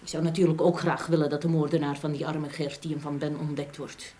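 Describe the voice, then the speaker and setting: An actress playing a frank, scornful, devoted middle-aged wife in a radio drama, with an accent from the Netherlands. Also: female voice, sits low, level tone, brisk, dark tone, lightly acted. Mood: worried, earnest. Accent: Dutch from the Netherlands. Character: outspoken, wronged but devoted middle-aged Dutch wife. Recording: old radio play with background hiss.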